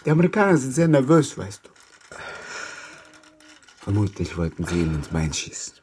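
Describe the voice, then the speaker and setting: An actor playing an embittered, grieving Afghan interpreter turned captor in a radio drama, moving between hushed confiding and outbursts. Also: male voice, lowish voice, big pitch swings, normal speed, a little hiss or hum. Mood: bitter, restrained. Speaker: former army interpreter.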